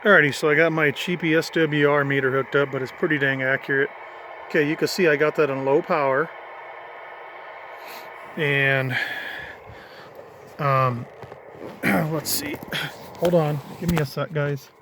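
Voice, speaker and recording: Higher-voiced man, folksy and casual, noisy recording. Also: steady tone, a little slow, pauses often. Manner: folksy, informal, thinking aloud. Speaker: hobbyist vlogger demonstrating a CB radio. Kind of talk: casual hands-on demonstration. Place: in or beside a parked vehicle. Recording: clearly noisy handheld amateur recording.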